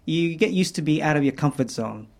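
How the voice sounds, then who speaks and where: A guest speaker, telling stories in a relaxed, reflective way during a casual interview. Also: medium pitch, fairly quick, creaky. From Philippines, raised in Australia from age nine. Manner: reflective, easygoing storytelling.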